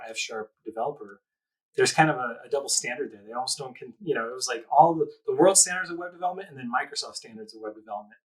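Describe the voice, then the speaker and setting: A man's voice: medium pitch, rough recording. A man hosting a casual podcast chat, speaking loosely and searching for words with fillers. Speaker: podcast host.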